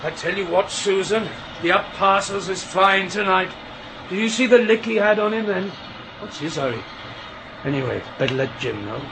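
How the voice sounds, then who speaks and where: An actor playing a gruff, teasing middle-aged railway signalman and bossy father with a West Country accent in a radio drama. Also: sits high, lively intonation, moderate pace. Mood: gruff, chiding. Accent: English West Country. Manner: gruff, chiding, colloquial working man's talk. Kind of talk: naturalistic radio drama acting. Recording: radio play with background noise and ambience.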